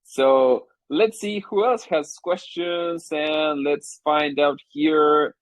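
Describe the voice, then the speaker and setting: A man's voice: a little high, takes it slowly, dry recording. A man hosting a live audio Q&A in an upbeat, friendly way, calling people up to ask questions. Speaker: host of the Q&A. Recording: dull lo-fi audio.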